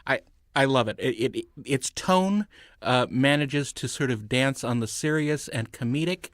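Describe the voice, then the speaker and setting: A man in casual film chat as a podcast panelist, speaking hesitantly with frequent pauses. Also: medium pitch, fairly bright tone, no room sound, clean studio sound.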